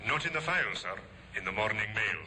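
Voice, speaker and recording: A dutiful police sergeant in a vintage radio drama, reporting in clipped lines with a very sharp, bright tone. A faint hiss sits under the old broadcast. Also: male voice, fairly low pitch, expressive, fast talker. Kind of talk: 1950s radio drama acting.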